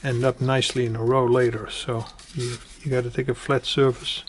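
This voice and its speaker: A man with a mid-pitched, very even voice talks through a hands-on tutorial. He goes step by step, haltingly, often restating an instruction. The home recording has clear background noise with clicks. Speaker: presenter of a do-it-yourself electronics tutorial. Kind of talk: informal hands-on tutorial explanation.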